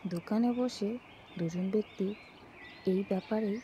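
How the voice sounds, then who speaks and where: A woman storyteller narrates a scripted folk tale at a middling pitch. Birdsong and outdoor ambience sit clearly behind her voice. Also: unhurried, dark tone, slight background noise.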